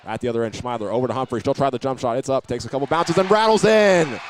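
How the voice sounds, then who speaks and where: A man's voice: medium pitch, fast. Male basketball play-by-play man, faint gym noise. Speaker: play-by-play sports broadcaster. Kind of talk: live basketball play-by-play commentary. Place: indoor high school basketball arena.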